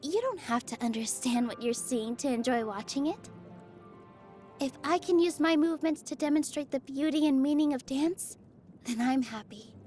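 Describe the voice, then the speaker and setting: A woman voicing a gentle, sunny young dancer in a video game, earnest and encouraging, with a sweet, coaxing manner. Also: high-pitched, normal speed, faint music behind, close to an everyday voice. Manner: sweet, soft-hearted, bubbly, coaxing. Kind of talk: scripted video game character lines.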